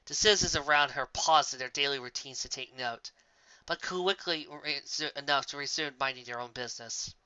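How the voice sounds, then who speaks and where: Amateur male story reader, bright and tense, occasionally tripping on words, close dull home recording. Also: medium pitch, normal speed, smooth, no room sound. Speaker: fan fiction reader. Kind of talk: scripted story narration.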